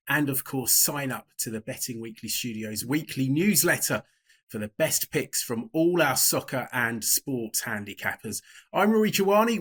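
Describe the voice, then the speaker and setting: A man hosting a tennis betting podcast, reading a brisk intro and then chatting in a relaxed, self-deprecating way. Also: on the high side, breathy edge. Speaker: podcast host.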